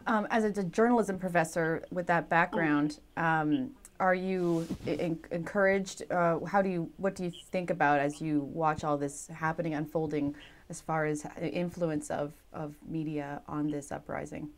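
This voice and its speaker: A woman's voice: low voice, fairly even intonation, normal speed. A woman news reporter asking interview questions in a somewhat hesitant way.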